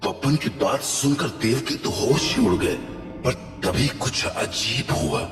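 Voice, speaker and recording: A man's voice: medium pitch, very animated, measured pace. A man narrating a scripted horror story as its storyteller. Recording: reverberant hall effect, distant, music underneath.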